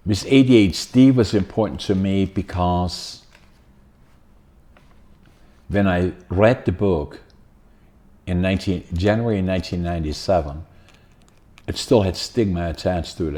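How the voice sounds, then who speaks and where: A man's voice: low voice, unhurried, pauses often, warm tone. An older man from the Netherlands with a Dutch accent, hosting a podcast in a rambling, casual talk full of fillers and restarts. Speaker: podcast host.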